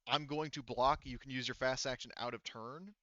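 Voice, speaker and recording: Male game master, bright crisp voice, dry close sound, slightly dull recording, casual rules talk, thinking aloud. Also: mid-range voice, steady tone, moderate pace, no echo. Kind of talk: casual tabletop game narration, explaining rules.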